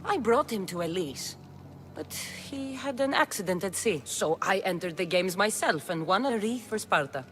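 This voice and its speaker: A woman's voice: medium pitch, animated, normal speed, fairly bright tone, soft background music, natural voice. A woman playing a bold, resolute young Spartan mercenary in a video game, blunt and assertive. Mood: confident. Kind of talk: dramatic video game acting.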